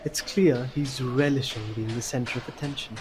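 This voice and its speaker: A man's voice: medium pitch, fairly even intonation, normal speed. A man reading scripted story narration for an audio drama.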